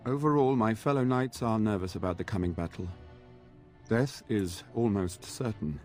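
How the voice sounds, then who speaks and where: An actor playing an earnest, devout knight in a fantasy video game, formal and solemn, resigned to a doomed battle. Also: male voice, fairly low pitch, normal speed, darkish tone, faint music behind, close to an everyday voice. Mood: grave, resolute.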